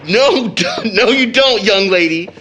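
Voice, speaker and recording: An actor playing a proud, teasing teenage son in an audio drama of an early 1900s play, with an American accent and a theatrical, boyish manner. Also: male voice, sits high, animated, measured pace, bright, forceful tone, a little hiss or hum, heavily acted. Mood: teasing, playful. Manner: theatrical, jokingly pompous with slangy boyish talk.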